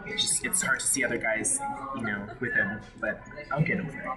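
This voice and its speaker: A man's voice: on the low side, steady tone, brisk. A man from Hawaii telling his personal story in an interview, frank and emotional, often restarting his sentences. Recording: loud background music.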